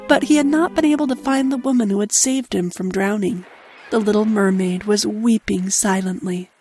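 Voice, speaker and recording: A woman giving a scripted narration of a children's fairy tale. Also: on the high side.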